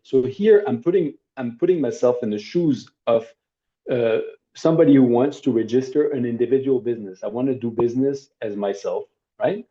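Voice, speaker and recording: A middle-aged man teaching a workshop over a video call, demonstrating a service in a relaxed, conversational way with hesitations and asides. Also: mid-range voice. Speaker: workshop instructor. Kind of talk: live online training demo. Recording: dull lo-fi video call audio.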